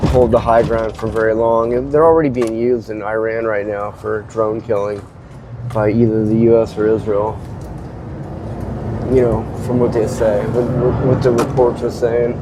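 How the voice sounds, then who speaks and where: A man's voice: mid-range voice, noisy background. Stuffy-nosed man rambling with a cold. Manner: rambling, hesitant, many restarts. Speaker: host. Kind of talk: casual spoken commentary. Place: car.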